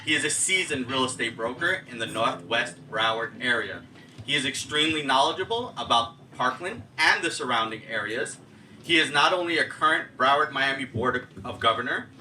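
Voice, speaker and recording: A man's voice: medium pitch, sharp tone. A man moderating a live panel event, introducing speakers in an upbeat, scripted way. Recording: distant mic, faint background noise.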